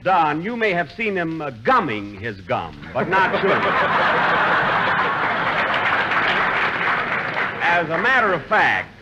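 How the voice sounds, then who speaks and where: Indignant male radio comedian, lively voice. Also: a little high, drawn-out words, pauses often, a loud crowd. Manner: indignant, self-important comic timing. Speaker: comedian host. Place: theater stage with live audience. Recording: lo-fi 1940s radio broadcast with hiss.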